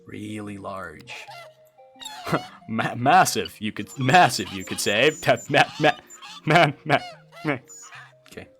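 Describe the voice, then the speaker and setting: A man's voice: mid-range voice, animated, slow pace, pauses often, fairly bright tone, soft background music. A young man from Brazil, a streamer chatting casually and thinking aloud as he answers viewers, often stammering and restarting. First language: Portuguese. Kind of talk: casual livestream chat while building a game creature.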